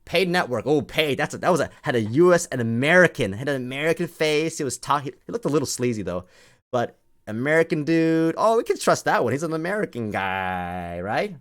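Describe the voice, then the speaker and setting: Animated man on an exasperated rant. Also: fairly high pitch, a little fast. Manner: emphatic, repeats phrases for effect. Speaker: crypto community host. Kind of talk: impassioned off-the-cuff rant to an online audience.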